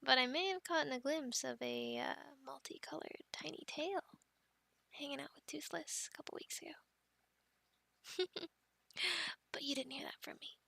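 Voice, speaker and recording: A friendly young dragon keeper in a fantasy audio roleplay, acted by a woman. Her high voice has frequent creak and a slow, encouraging delivery. She talks playfully and is recorded close and dry. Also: pushed and bright, dry recording, exaggerated voice. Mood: secretive, amused. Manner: playful, chatty, cooing to animals like pets. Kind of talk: ASMR roleplay, addressing the listener.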